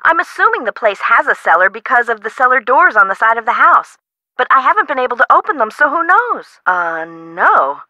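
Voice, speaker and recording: Casting: dramatic, easily rattled woman homeowner for a game; high, very bright voice, colloquial delivery. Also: a little fast, no room sound, full character voice, poor audio. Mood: chatty, explanatory. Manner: emotive, expressive, colloquial. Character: frightened, dramatic woman homeowner, chatty and friendly. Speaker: voice actress in an adventure video game. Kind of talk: game character dialogue acting.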